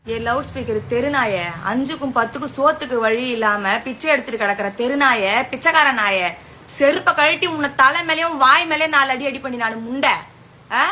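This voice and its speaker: A woman's voice: sits high, bright, forceful tone. A woman with a colloquial Tamil Nadu accent in an angry informal rant, scolding and threatening someone abusively.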